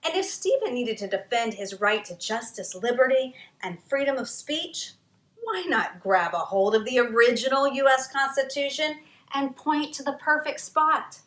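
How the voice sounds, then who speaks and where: A woman author reading her own children's history book aloud in a dramatic, expressive storytelling manner. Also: high-pitched, echoey room. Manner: dramatic, varied storytelling delivery with emphatic pauses.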